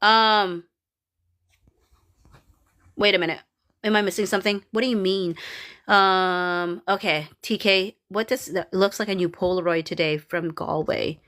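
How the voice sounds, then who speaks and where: A woman's voice: mid-range voice, fairly even intonation, a little slow, long pauses, fairly bright tone. Chatty woman with a Filipino accent. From Philippines. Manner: rambling, many fillers and restarts, reads out viewer comments. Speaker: livestream host reading chat. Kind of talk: casual livestream chat.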